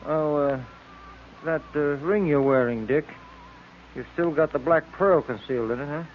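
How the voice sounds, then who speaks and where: Radio serial sidekick, male actor: slightly high voice, entranced detective's pal, thin phone-like vintage sound. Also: normal speed, close to an everyday voice. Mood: feigned casual. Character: detective's male sidekick, hypnotized, feigning casual friendliness. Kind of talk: old-time radio serial acting. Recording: tinny, noisy vintage radio recording.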